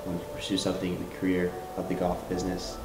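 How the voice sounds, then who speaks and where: Deep flat-voiced young man. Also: reverberant, over loud music, rough recording. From Michigan. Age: young adult. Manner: halting, many ums and uhs. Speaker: student interviewee. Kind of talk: casual interview answers.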